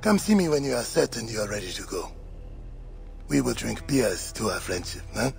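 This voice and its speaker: An actor playing a jovial, teasing Egyptian village protector and friend in a video game. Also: male voice, mid-range voice, sing-song, normal speed, gravelly, music in the background. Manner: jovial, hearty. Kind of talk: video game cutscene acting.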